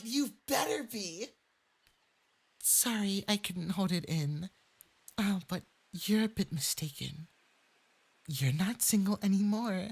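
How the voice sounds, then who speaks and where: A performer with a light, breathy voice playing a clingy, lovestruck fox-spirit femboy mage in an intimate ASMR roleplay, coaxing sweetly and possessively. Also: medium pitch, heavily acted. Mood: fierce glee, then sweetly probing. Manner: sweetly coaxing, possessive, swinging into eager excitement.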